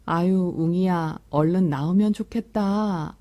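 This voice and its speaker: A woman's voice: on the low side, a little slow, muffled recording. A woman storytelling teacher reading a picture book to young children, soothing and chatting to them along the way. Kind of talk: picture book read-aloud with commentary to children.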